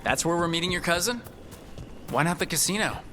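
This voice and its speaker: An actor playing a calm, supportive male adventurer in a video game, with a gentle, steady, sincere delivery. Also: fairly high pitch, brisk, faint music behind. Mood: curious, friendly. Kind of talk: video game dramatic voice acting.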